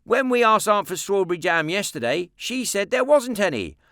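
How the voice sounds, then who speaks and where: A man's voice: high-pitched, sing-song, normal speed, pushed and bright, dry recording, clean studio sound, pitched above the performer's own voice, exaggerated voice. A man voicing a mischievous little Edwardian boy in a storybook, with a prim, mock-innocent and sly manner. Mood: gleeful, triumphant.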